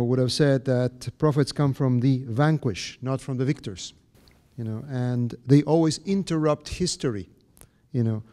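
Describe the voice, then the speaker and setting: Brief: man, Argentine accent, mellow dark voice, halting scholarly audience question. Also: medium pitch. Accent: Argentine Spanish. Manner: halting, many fillers and restarts, academic. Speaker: audience member. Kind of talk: question from the floor.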